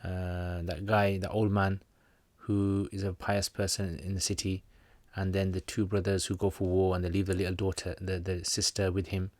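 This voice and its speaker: A man's voice: low-pitched, monotone, moderate pace, few pauses, a little mellow, no echo. A man teaching and hosting a livestream, chatting casually with viewers in a jokey, rambling way with slangy asides. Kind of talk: casual livestream chat, answering viewer comments.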